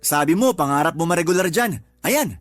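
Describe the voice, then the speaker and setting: A man's voice: a little high, animated, a little fast, no echo, close to an everyday voice. A man playing a defensive, sulky young stay-at-home father in a Tagalog radio drama. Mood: evasive. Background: background music.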